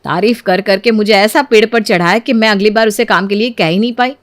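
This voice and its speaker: A woman's voice: mid-range voice, brisk, dry recording, lightly acted. A woman voicing an exasperated young daughter-in-law in a cartoon story, complaining in a whiny, indignant, dramatic way.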